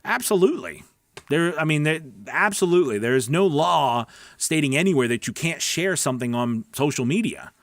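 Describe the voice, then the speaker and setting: A man's voice: fairly high pitch, lively intonation, moderate pace, dry recording, clean studio sound. A man hosting a live question-and-answer stream as a coach, talking casually and emphatically, repeating words and checking in with his listeners. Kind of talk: casual instructional livestream chat, answering viewer questions.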